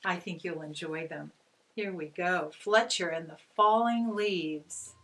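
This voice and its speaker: A woman reading a children's story aloud, with expressive, gentle and deliberate narration. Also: fairly low pitch. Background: guitar music.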